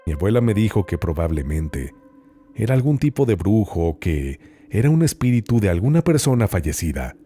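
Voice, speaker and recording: A man narrating horror stories as host, in a slow, hushed, dramatic reading with long pauses. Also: lowish voice, big pitch swings, soft background music.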